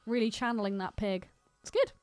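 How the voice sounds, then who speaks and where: A woman's voice: a little high, brisk, a little mellow, clear, resonant tone, no room sound, faint music behind. A woman co-hosting a podcast in casual comic banter, sweary, teasing and giggly. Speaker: podcast co-host.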